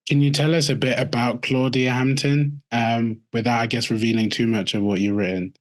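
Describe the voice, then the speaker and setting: A man's voice: mid-range voice, little pitch movement, normal speed. A British man hosting a podcast, asking questions and commenting in a casual, conversational way. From United Kingdom. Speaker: podcast host, interviewer.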